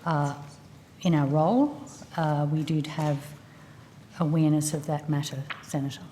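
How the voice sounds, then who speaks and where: A woman, a senior public servant, giving formal testimony in a measured, guarded and hesitant manner. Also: deep voice, steady tone, mellow, slight background noise.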